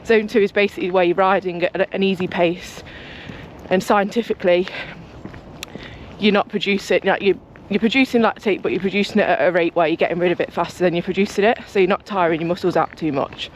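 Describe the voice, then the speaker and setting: A young woman, chatting casually to her camera as a cycling vlogger, rambling and talkative, her voice a bit croaky from just waking up. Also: on the low side, fairly even intonation, a little fast, a little hiss or hum. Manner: chatty, rambling, talks a lot. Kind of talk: casual vlog chat to camera. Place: home, then outdoors on a walk.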